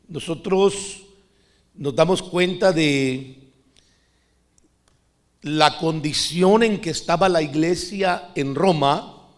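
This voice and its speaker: A middle-aged man from Costa Rica preaching a sermon in a conversational way, often calling the listeners brother. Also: high-pitched. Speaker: pastor.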